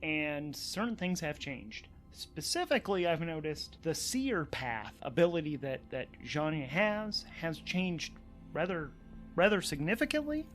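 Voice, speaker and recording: A man's voice: a little high, lively intonation, unhurried, fairly bright tone, soft background music. A man narrating and commenting as host and player of a solo tabletop role-playing game, conversational and enthusiastic.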